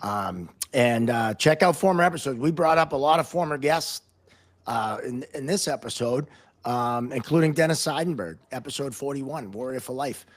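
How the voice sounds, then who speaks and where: An American man hosting a casual podcast interview, talking in a halting way and often repeating words. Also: mid-range voice, animated. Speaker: podcast host, hockey referee.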